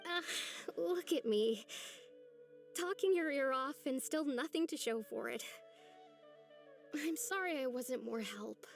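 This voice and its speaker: High-voiced, wistful young village woman. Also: animated, slow pace, gravelly, pauses often, soft background music, heavily acted. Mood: pensive. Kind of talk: video game voice acting.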